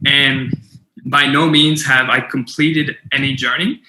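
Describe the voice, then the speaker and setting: A man's voice: medium pitch, level tone, unhurried, bright, forceful tone, roomy sound, low-quality recording. A young man giving an earnest motivational keynote, with stuttered repetitions and fillers. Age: young adult. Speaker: keynote speaker.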